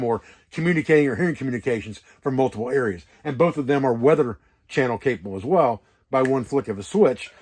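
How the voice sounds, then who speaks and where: A man's voice: medium pitch, darkish tone. A man talking informally as an instructor on an outdoor preparedness vlog, explaining things in a rambling, hands-on way. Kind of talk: casual instructional vlog talk. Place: wall tent at a hunting camp.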